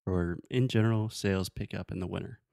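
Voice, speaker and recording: A man's voice: deep voice, fairly quick, creaky, warm tone, no echo. Casual male English teacher, dry close sound. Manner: explains with everyday examples, checking understanding. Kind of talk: casual conversational language lesson.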